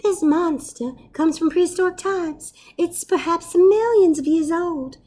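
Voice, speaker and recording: A woman's voice: extremely high pitch, unhurried, warm tone, voice pushed up. A woman voicing an earnest mouse professor in a storybook, explaining things in firm declarations. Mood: earnest, grave. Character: earnest mouse professor, a great scientific mind.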